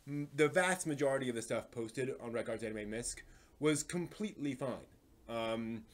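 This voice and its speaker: A man hosting a livestream, talking casually with a hesitant, rambling way of explaining things. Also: medium pitch. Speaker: livestream host.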